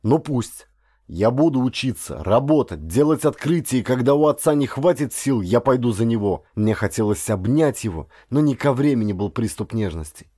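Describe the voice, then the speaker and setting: A man's voice: mid-range voice, lively intonation, moderate pace, dark tone, dry recording, clean studio sound. A man, a professional actor, speaking as an audiobook narrator with a dramatic storyteller's delivery. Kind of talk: dramatic scripted audiobook narration, then direct address to listeners.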